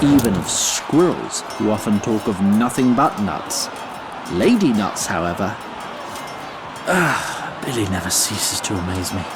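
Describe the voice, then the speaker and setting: A man playing an ancient immortal storyteller, narrating a fantasy audio drama with theatrical, wry asides. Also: fairly low pitch. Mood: wry, bemused.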